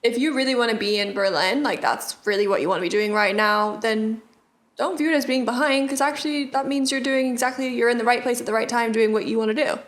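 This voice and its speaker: A woman in her twenties co-hosting a podcast, gently reassuring and leaning on casual fillers. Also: fairly high pitch, fast talker. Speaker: podcast co-host. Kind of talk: casual advice chat.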